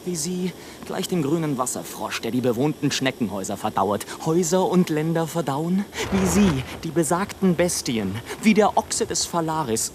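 A man's voice: a little high, slight background noise, close to an everyday voice. An actor playing a bitter, world-weary male balloonist in a German radio play, declaiming in an eloquent, theatrical and ironic way. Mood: indignant.

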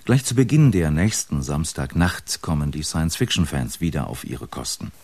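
A man's voice: very deep voice, sing-song, moderate pace, gravelly, a little mellow, husky, dry recording. A man radio announcer formally presenting a preview of upcoming programs. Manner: formal address to listeners.

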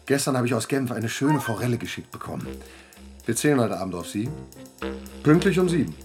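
A man's voice: mid-range voice, expressive, a little fast, a little mellow, close to an everyday voice. A man acting in a German radio drama as a jovial, vulgar middle-aged art dealer, teasing and overfamiliar. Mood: brisk, jovial. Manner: teasing, familiar. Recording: music playing behind the voice.

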